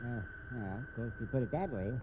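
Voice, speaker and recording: A man's voice: medium pitch, expressive, fairly quick, natural voice. An actor playing an evasive, folksy old night watchman in a 1950s radio mystery, rustic and forever repeating himself. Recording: tinny, noisy old radio recording.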